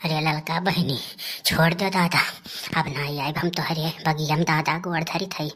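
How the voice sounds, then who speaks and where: A woman's voice: lowish voice, normal speed, a little hiss or hum, close to an everyday voice. Woman acting a brazen Awadhi village elder sister. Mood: pleading. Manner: earthy, blustering. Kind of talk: rustic comedy cartoon dialogue.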